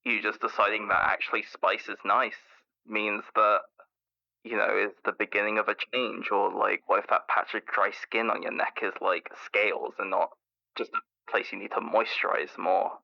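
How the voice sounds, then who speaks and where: A man's voice: fairly low pitch, level tone, normal speed, vocal fry, lo-fi sound. A man playing an anxious, self-doubting scientist phoning a radio show, nervous and rambling, heard through a caller-line filter. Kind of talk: improvised call-in radio acting.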